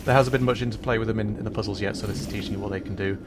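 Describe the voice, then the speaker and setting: A man's voice: lowish voice, steady tone, very fast, noisy background. A man livestreaming a video game, giving casual commentary and rambling explanations with fillers and little laughs.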